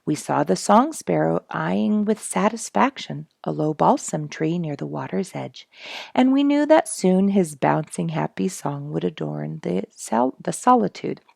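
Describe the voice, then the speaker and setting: Low, creaky-voiced woman reading a story. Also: expressive, unhurried, darkish tone, no room sound, clean studio sound. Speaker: storyteller reading a book aloud. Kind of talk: expressive narrated nature memoir for a family audience.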